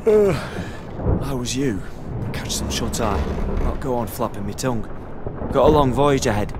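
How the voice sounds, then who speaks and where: An actor voicing a chatty, grumbling rough prisoner in a video game, with a wry, colloquial manner. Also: male voice, mid-range voice, moderate pace, music in the background, lightly acted.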